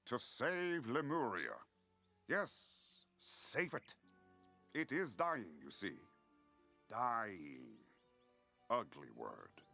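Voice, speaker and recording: An actor voicing a brooding, shapeless void entity in a fantasy game, with a halting, theatrical, musing delivery. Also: male voice, mid-range voice, very animated, vocal fry, bright, forceful tone, heavily acted. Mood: eerie, musing. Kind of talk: scripted fantasy game dialogue. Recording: game audio over background music.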